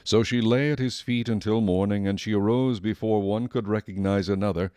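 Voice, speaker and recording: Low-voiced male scripture narrator. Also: steady tone, fairly quick, a little mellow, clean tone, dry recording, studio quality.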